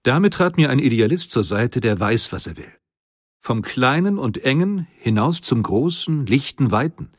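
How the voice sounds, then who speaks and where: Idealistic inventor of the 1800s, mid-pitched, solemn, old-fashioned memoir style, very dry sound. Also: male voice, very animated, normal speed, no echo. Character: Carl Benz, earnest idealistic 19th-century German inventor, recollecting. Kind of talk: dramatized memoir reading.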